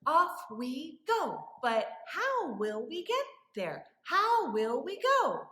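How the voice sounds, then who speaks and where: A woman leading story time for young children as a librarian, with animated wonder and a sing-song, encouraging way of talking. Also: high voice, slow. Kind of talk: children's story time with rhymes, questions and songs. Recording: echoey room.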